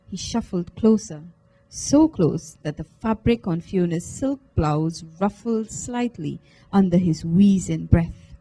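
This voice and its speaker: A woman reads fiction aloud. Her delivery is unhurried and deliberate, with dramatic phrasing. The tone is mellow and the pitch sits in a medium range. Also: poor audio. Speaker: reader at a book launch. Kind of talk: expressive literary reading, first-person narration. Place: live book launch event at a library.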